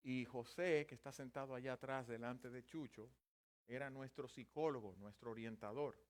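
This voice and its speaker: A man in his fifties preaches in his native Spanish from a church pulpit. He moves from light self-deprecating humor into earnest teaching, and his voice sits at a middle pitch. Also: fairly even intonation, moderate pace. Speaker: pastor. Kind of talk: bilingual church sermon in Spanish. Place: church sanctuary.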